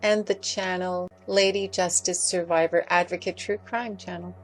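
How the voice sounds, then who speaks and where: A woman's voice: lowish voice, flat delivery, moderate pace, poor audio. A woman reading a scripted critical commentary as a video essay narrator. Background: music.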